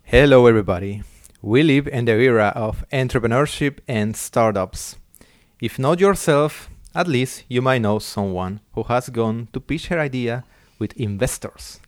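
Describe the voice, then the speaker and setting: A man's voice: medium pitch, normal speed, no room sound. Podcast host man, dry voice over faint music. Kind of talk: scripted intro then interview questions.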